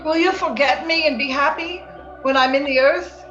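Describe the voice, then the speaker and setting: Actress as fevered young lady, dreamy romantic radio acting, very high voice, echoey dull recording. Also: moderate pace, fairly bright tone. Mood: yearning, sorrowful. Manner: dreamy, yearning, theatrical. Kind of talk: old-time radio drama acting. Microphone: recorded remotely over video call. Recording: echoey, dull video-call sound, music underneath.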